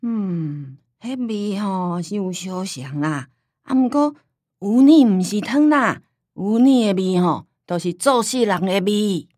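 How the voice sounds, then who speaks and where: Old Taiwanese granny, forgetful, stubborn, nostalgic, mid-pitched, radio play, dry studio sound. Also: female voice, expressive, takes it slowly, a little mellow, no room sound. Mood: animated, chatty. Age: older. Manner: rambling, folksy storytelling with sighing interjections. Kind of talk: radio drama acting in Taiwanese Hokkien.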